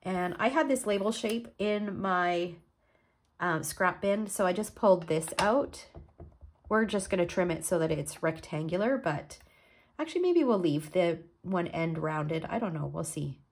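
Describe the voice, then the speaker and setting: A woman with a low, husky voice talks through a card-making project step by step as she works. She is a little stuffed up from a cold. Her tone is relaxed and easygoing. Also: unhurried. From Canada. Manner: relaxed, step-by-step explaining while working. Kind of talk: crafting tutorial.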